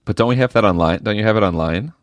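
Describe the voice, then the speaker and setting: A man's voice: fairly low pitch, racing, no room sound. A man, recorded dry and close. He interviews casually as a podcast host, putting short, informal questions. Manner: brief, informal questions and listening responses.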